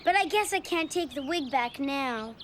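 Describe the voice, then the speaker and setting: Squeaky, earnest little cartoon girl. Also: lively intonation, moderate pace, background noise. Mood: grateful, earnest. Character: sweet, eager little girl. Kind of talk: animated children's cartoon acting. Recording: loud music under the dialogue.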